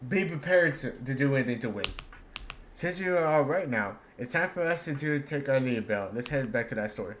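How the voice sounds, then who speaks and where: A man's voice: medium pitch, animated, fairly bright tone, slight background noise. Man streamer reading game dialogue aloud. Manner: halting read-aloud with stumbles.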